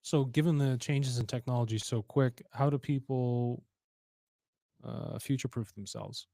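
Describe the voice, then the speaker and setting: Male podcast host, average pitch, casual and blunt, dry room. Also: steady tone, measured pace, creaky, dark tone, no room sound. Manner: casual, blunt, curses freely. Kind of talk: casual interview.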